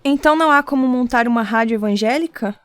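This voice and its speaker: An actress playing a gentle, supportive missionary wife in a radio drama. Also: female voice, on the high side, quick pace, dry recording, clean studio sound, lightly acted. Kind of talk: scripted radio drama dialogue. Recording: clear background ambience noise.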